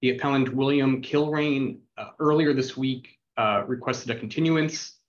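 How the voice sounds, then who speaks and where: A man's voice: medium pitch, moderate pace. A man representing the city at a virtual board hearing, speaking in a businesslike way as he reads out case details. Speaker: city attorney. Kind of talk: formal hearing remarks. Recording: dull lo-fi call audio.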